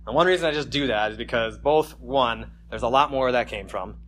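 A man's voice: mid-range voice, brisk, fairly bright tone, slight background noise. A man preaching a conversational sermon at a church service, joking casually and reading scripture with emphasis. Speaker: pastor.

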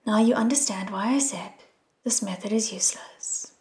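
A woman voicing a gentle young male god who speaks in a soothing tone. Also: mid-range voice, moderate pace, reverberant, soft background music, close to an everyday voice.

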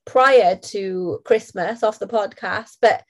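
Woman podcasting with a stuffy cold, nasal and croaky, chatty, laughs a lot. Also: medium pitch, takes it slowly. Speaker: podcast co-host. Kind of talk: casual podcast chat.

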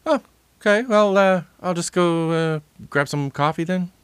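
A man's voice: sits high, measured pace, clear, resonant tone, dry recording. An actor playing an over-eager, theatrical narrator of witch tales in an audio drama. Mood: awkward, hopeful. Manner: grandly dramatic storyteller, turning awkward. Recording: music underneath.

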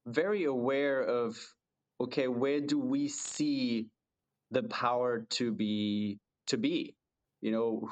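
A man from Germany for whom English is a second language, co-hosting a podcast in a thoughtful way with frequent restarts. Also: mid-range voice. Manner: thoughtful, hesitant restarts, self-deprecating laughs. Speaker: podcast co-host. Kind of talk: casual podcast chat.

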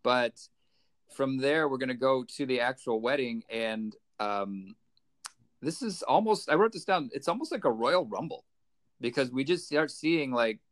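A man's voice: medium pitch, lively intonation, measured pace, a bit bright. A man co-hosting a podcast, chatting casually and conversationally in a comic book review style. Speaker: podcast co-host.

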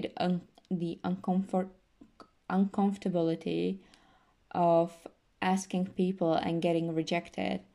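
Slow, gravelly-voiced young woman. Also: fairly low pitch. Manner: slow, soft-spoken, many fillers and restarts. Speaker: podcast host. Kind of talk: casual self-help talk.

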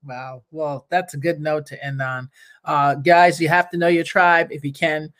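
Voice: mid-range voice, steady tone, normal speed, a bit bright. A host interviewing a guest on a live show, affirming her often and reading out viewer comments. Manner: affirming, frequent backchannels. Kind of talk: livestream interview.

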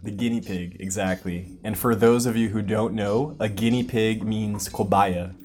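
A man from the United States hosting a casual language-learning podcast, explaining things patiently with clear examples. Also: lowish voice, steady tone, normal speed, darkish tone, faint music behind. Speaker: podcast host. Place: lives in Brazil.

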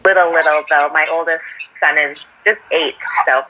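A woman's voice: low voice, fairly even intonation, moderate pace, bright, forceful tone, dull audio. A woman in her thirties from Edmonton, Canada, chatting cheerfully as a guest on a live stream. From Edmonton, Alberta, Canada. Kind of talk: casual chat on a live stream.

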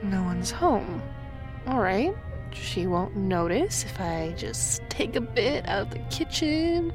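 A woman's voice: mid-range voice, very animated, takes it slowly, over music, poor audio. A woman playing a grumpy, scrappy, impulsive young woman, muttering sarcastically to herself in a radio drama. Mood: sneaky.

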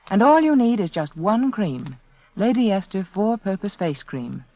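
A woman's voice: mid-range voice, darkish tone. A woman reading a 1940s radio advertisement as spokeswoman, persuasive and carefully phrased. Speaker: commercial spokeswoman. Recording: muffled 1940s radio recording.

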